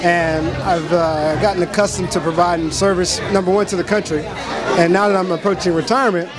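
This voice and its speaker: A man with military service behind him, now a candidate, giving earnest, steady answers in an interview. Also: high voice, fairly quick, music in the background. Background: crowd chatter.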